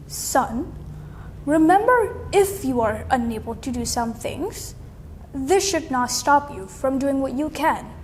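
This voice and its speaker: A woman voicing a gentle, supportive storybook father, speaking emphatically and reassuringly to his son. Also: high voice, animated, takes it slowly, long reverb tail, slight background noise.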